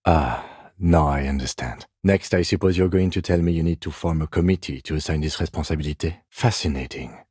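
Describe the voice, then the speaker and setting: Dry, restrained middle-aged detective for a video game; low voice, deadpan, close dry sound. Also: male voice, breathy edge, dry recording. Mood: dryly sardonic. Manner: measured, restrained, formal, deadpan. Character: composed, dry, by-the-book middle-aged male police detective. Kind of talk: scripted game dialogue.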